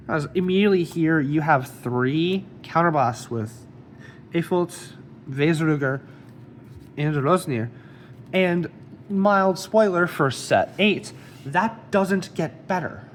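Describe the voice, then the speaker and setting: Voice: mid-range voice, slow, slight background noise. A card game deck reviewer with a slight cold, casually explaining card effects one by one.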